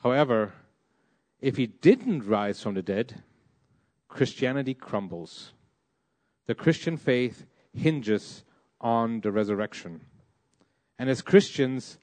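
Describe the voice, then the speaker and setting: A man's voice: medium pitch, a little mellow. A young preacher from Malaysia delivers a sermon in a mid-range voice. He talks in hesitant phrases and reaches often for "uh" and "um" as he works through his points. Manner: hesitant, many "uh" and "um" fillers.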